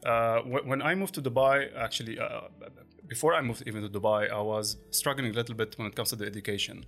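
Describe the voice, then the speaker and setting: A man in his forties, a podcast guest who speaks haltingly with many fillers and restarts. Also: medium pitch, fairly even intonation, a bit bright, soft background music. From Lebanon. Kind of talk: conversational interview.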